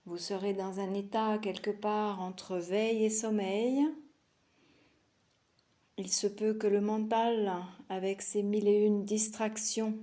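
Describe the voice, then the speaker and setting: A woman teaching yoga nidra, guiding a meditation in a soothing, unhurried manner with long pauses between short phrases. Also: medium pitch, mellow. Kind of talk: guided relaxation meditation.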